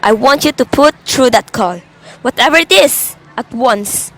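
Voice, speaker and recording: A very high-voiced young woman acting as a bedridden wife in a suspense radio play. She is nervous, demanding and full of self-pity, complaining in an agitated, melodramatic way. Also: animated, measured pace, fairly bright tone. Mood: irritated, complaining. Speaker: student actor in a class radio drama.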